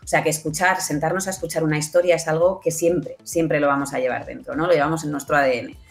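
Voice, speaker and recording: Low-pitched, gravelly Spanish woman being interviewed. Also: steady tone, fast talker, faint music behind. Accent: Spain. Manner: explanatory, often ending phrases with a checking tag question. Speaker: interview guest, audio fiction producer. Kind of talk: conversational interview.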